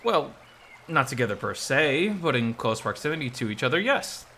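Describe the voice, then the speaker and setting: Guy doing a cocky teasing hiker guide in a visual novel, wry, super bright n lively voice. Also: medium pitch, moderate pace, slight background noise. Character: teasing, self-assured male wilderness guide, seasoned hiker.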